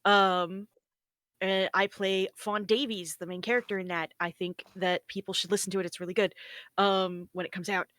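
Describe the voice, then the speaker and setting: A woman's voice: mid-range voice, little pitch movement, moderate pace, fairly bright tone, no echo. A woman who podcasts and performs, chatting casually and plugging shows. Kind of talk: casual chat and plugs.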